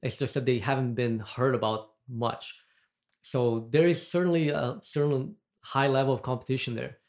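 Brazilian-accented man, podcast guest, chatty and rambling, mid-pitched everyday voice. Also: fairly even intonation, moderate pace, darkish tone. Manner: chatty, rambling, with frequent restarts and laughs. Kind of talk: casual chat.

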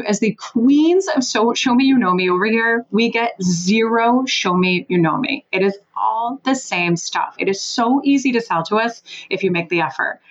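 A woman originally from Switzerland, a sales consultant guest giving emphatic, animated advice in an interview, with some casual swearing. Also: on the high side, muffled recording.

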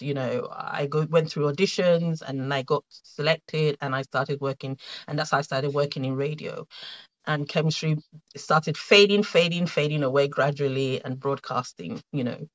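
A middle-aged woman with a Nigerian accent, a guest in an interview, telling her story in a reflective, halting way with many fillers and restarts. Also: deep voice, a bit bright, lo-fi sound. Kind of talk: conversational interview.

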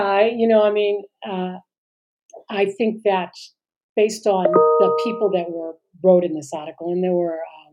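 A middle-aged woman with a mellow, fairly low voice co-hosts a podcast. She talks casually and haltingly, trails off, and fills gaps with "uh" and "you know". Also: dull audio. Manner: hesitant, trailing off, many fillers. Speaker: podcast co-host.

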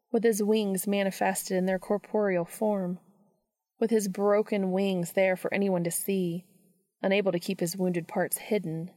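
A woman's voice: mid-range voice, warm tone, dry recording. A woman hosting a podcast, reading scripted introductions and fan fiction narration aloud. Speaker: podcast host and reader.

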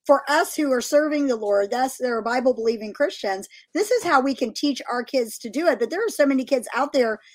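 A woman's voice: high-pitched, lively intonation, brisk, few pauses. A woman hosting a faith-based discussion, asking her guests questions and encouraging them. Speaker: host.

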